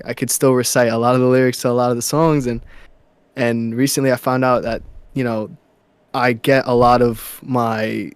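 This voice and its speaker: A young man from New York, a musician guest in a casual interview, talking softly and haltingly with many fillers and restarts. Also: medium pitch, smooth, dry recording.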